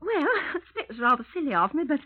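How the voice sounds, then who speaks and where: An actress playing a brash, flighty, vain music hall star in a 1950s radio crime drama, with a theatrical, self-important, gushing manner. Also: female voice, high-pitched, big pitch swings, quick pace. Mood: coy, gushing. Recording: tinny, narrow-band 1950s radio recording.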